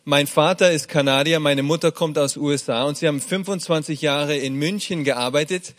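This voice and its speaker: A middle-aged pastor from Germany giving a sermon, speaking warmly with many hesitations and fillers. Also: male voice, on the high side, fairly even intonation, normal speed. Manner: warm, hesitant delivery with frequent fillers.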